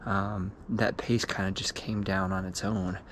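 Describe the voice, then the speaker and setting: Husky-voiced man vlogging outdoors. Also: little pitch movement, unhurried. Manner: low-key, thinking aloud. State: losing his voice. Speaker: running shoe reviewer. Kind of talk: casual vlog commentary. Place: outdoors on a porch and dark streets. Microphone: action camera. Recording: camera audio with loud outdoor noise.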